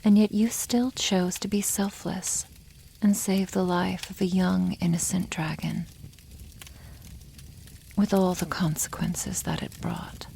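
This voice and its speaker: A woman voicing a protective, tender female dragon in a soft, intimate ASMR roleplay, with formal wording and a hushed, often half-whispered delivery. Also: on the low side, steady tone, takes it slowly, vocal fry, slight background noise, low-quality recording. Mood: gentle, earnest.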